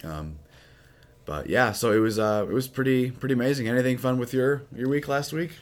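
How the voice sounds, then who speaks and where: A man with a raspy, recovering voice, a priest co-hosting a podcast, chatting casually and rambling with plenty of fillers. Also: brisk. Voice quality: low, husky. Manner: relaxed, rambling with many fillers. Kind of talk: casual chat.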